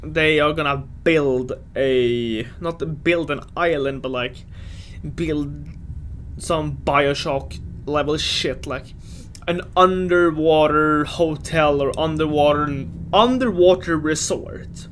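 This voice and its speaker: A man with a somewhat high voice talks in an unscripted, casual livestream style. He thinks out loud and swears freely. There is light noise behind him on the recording. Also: slow pace. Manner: loose, profane, thinking out loud. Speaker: livestream host. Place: livestream from a previous broadcast. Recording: noisy livestream audio.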